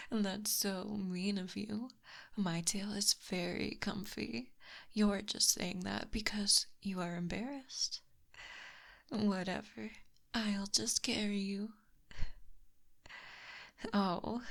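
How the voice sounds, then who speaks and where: Woman acting a possessive snake monster girl, soft breathy asmr whispering, real slow, bit of creak, teasing, super close mic. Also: mid-range voice. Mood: teasing menace. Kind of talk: ASMR roleplay. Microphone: close binaural microphone.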